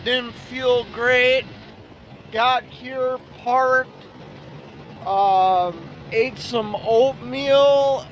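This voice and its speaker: A man, a truck driver vlogging casually in a relaxed, rambling way while feeling tired and unwell. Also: high-pitched. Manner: relaxed and rambling, many ums. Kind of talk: casual vlog narration. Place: truck cab and outdoor truck lots. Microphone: phone or dash camera. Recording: noisy outdoor truck yard audio. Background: engine and traffic noise.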